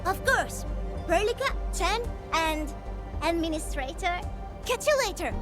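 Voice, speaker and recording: Very high, bubbly young woman. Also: expressive, unhurried. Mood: cheerful. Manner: bubbly, informal. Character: chatty, curious, cheerful young female crew guide. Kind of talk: animated game voice acting. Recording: music playing behind the voice.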